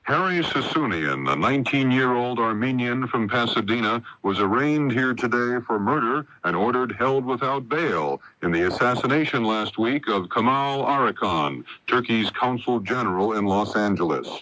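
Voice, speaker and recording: A man's voice: mid-range voice, lively intonation, normal speed, few pauses, sharp tone, no echo. An American man giving a scripted news report as a radio correspondent, on a dull vintage broadcast.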